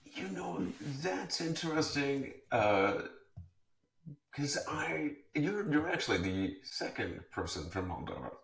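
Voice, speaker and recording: A man hosting an interview podcast, asking halting, searching questions full of fillers and restarts. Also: mid-range voice, very animated, gravelly. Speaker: podcast host. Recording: roomy echo.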